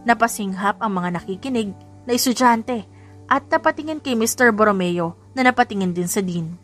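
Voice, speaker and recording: A woman's voice: medium pitch, normal speed, faint music behind. A woman audiobook narrator reading a scripted story.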